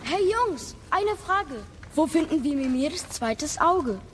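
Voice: high voice, expressive, slow pace, heavily acted. An actor playing an eager, curious young boy, a warrior's son, in a game-based audio drama. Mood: eager, hopeful. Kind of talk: dramatic audio play acting of a video game. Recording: loud noise behind the voice.

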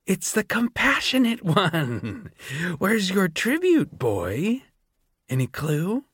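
A man voicing an unhinged, cruel older female scientist who talks in a slow, taunting singsong. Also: high voice, huge pitch swings, fairly bright tone, slightly rough, dry recording, studio quality, voice pushed up, full character voice. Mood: mocking.

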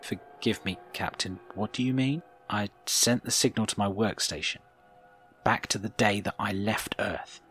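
A man's voice: on the low side, unhurried, a bit bright, faint music behind. A man playing an earnest, bewildered junior starship officer in a sci-fi audio drama. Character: earnest, bewildered male starfleet ensign, long missing.

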